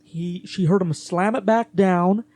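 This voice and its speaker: A man's voice: high-pitched, fairly even intonation, unhurried, a little mellow, no room sound. A man hosting a podcast, telling a true-crime case in a casual, conversational way with fillers and restarts. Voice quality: voice gets gravelly later in the day. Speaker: podcast host narrating a case.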